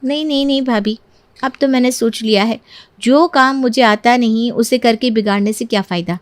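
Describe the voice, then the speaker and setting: A woman's voice: on the high side, moderate pace, no room sound, close to an everyday voice. A woman voicing a stubborn, coy young daughter-in-law in a cartoon moral story, mock-innocent and self-justifying. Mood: refusing.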